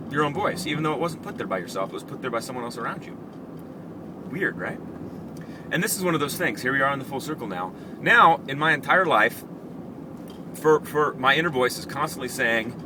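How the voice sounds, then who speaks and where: A man hosting a casual vlog, telling personal stories in an animated, reflective, conversational way. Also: mid-range voice. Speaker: vlog host. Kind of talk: casual personal storytelling to camera. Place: in a car. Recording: clear vehicle and street noise.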